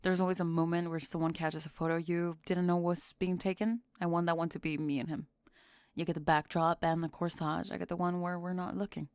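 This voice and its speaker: A young woman acting a deadpan, sardonic, guarded high school goth girl in an ASMR roleplay. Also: fairly quick, clear, resonant tone, no echo, lightly acted. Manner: dry, deadpan, pointed.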